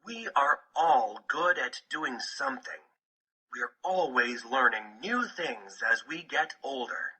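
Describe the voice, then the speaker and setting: Male picture-book narrator, slow and deliberate, with lively pitch swings. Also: breathy edge, low-quality recording. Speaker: audiobook narrator.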